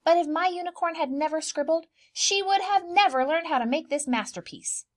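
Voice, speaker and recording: A woman reading a picture book aloud for preschoolers, expressive and dramatic, pausing to put questions to the children. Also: very high voice. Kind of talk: animated children's storybook read-aloud with asides.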